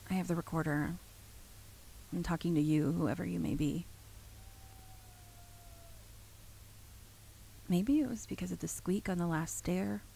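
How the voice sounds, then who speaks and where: An actress playing an uneasy, brooding woman who haltingly records her account in a horror drama, with a husky, gravelly voice. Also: on the low side, warm tone, poor audio. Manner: halting, brooding, breaking into whispers.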